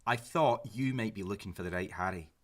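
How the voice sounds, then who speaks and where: A man's voice: lowish voice, fairly quick, a bit bright. A man acting a grieving widower on a first date in an audio drama, hesitant, stammering and self-justifying. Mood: defensive. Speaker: actor in a sci-fi audio drama. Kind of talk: naturalistic audio drama dialogue.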